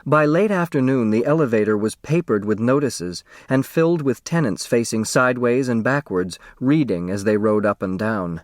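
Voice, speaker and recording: A man reads a children's novel in a mid-range voice with very lively intonation. The storytelling is expressive and deliberate. He is close to a studio microphone, with a dry sound. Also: mid-range voice, dry recording. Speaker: audiobook narrator. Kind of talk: scripted children's novel narration.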